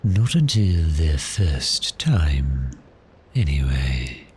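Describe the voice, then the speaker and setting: Man acting as courteous, menacing vampire for ASMR roleplay: extremely low pitch, very slow delivery. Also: sing-song, slight background noise. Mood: calm, quietly gloating. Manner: courteous, unhurried, deliberate, softly menacing. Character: polite, cheerful, menacing male vampire toying with prey.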